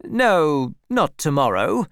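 A curt army sergeant , voiced by a female storybook reader, answers firmly and evasively. The pitch sits somewhat above plain narration, with a brisk, placating manner and a dry, close sound. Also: sing-song, no room sound, full character voice.

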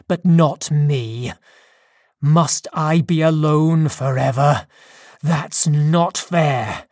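Brief: reader putting on a hushed, menacing monster voice, low and drawn-out, lonely and vengeful. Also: breathy edge, no echo, clean studio sound. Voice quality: slow, whispered menace. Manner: low hushed threatening delivery.

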